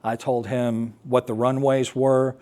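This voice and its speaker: An American man giving a personal talk, recounting his experience as an air traffic controller in a halting, explanatory way with pauses. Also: medium pitch, flat delivery, warm tone. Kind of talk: personal storytelling talk to an assembly.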